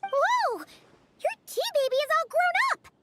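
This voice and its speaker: A woman voicing a tiny, excitable, inquisitive fairy companion with a squeaky childlike voice in an anime game. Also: slow pace, very noisy, full character voice. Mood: excited. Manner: speaks of self in third person, exclamatory. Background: game music.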